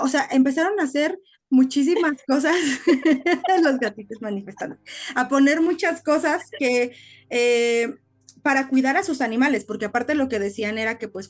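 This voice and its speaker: A woman co-hosting a casual podcast, explaining things like a storyteller with frequent little tag questions. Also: a little high. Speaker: podcast co-host.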